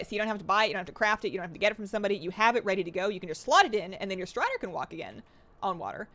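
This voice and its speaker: A young woman streaming a game live, chatting excitedly and reading her chat aloud. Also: mid-range voice.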